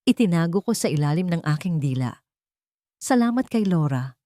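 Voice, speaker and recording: A woman's voice: lowish voice, lively intonation, moderate pace, dark tone, no echo, clean studio sound, close to an everyday voice. A woman voicing a wealthy father who speaks with stern authority. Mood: commanding. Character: wealthy older man, father, stern and commanding.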